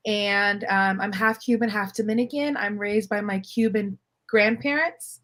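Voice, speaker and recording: A young woman from Miami, talking freely as a guest about her life and work. Her voice sits in a middle register, and she tells her stories with lively, easy laughter. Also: medium pitch, unhurried. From Miami, Florida. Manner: animated, laughs often, storytelling. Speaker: guest filmmaker. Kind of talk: casual interview chat.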